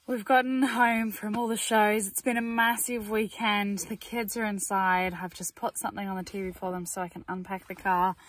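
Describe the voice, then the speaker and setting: A woman's voice: medium pitch, level tone, moderate pace, few pauses, slight background noise, rough recording. A woman in her thirties with an Australian accent, vlogging casually to a phone camera about her busy farm weekend in a chatty, organised way. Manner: chatty, organised, matter-of-fact. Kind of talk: casual vlog narration to camera. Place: in a car, on the road and outdoors on a farm. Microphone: phone camera held at arm's length. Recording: music bed playing underneath.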